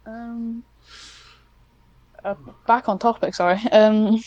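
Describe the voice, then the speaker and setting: A woman's voice: on the high side, steady tone, slow pace, darkish tone, no room sound, dull audio. A nineteen-year-old woman chatting casually, rambling and self-deprecating as she goes. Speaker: podcast guest, ASMR script writer. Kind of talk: casual chat.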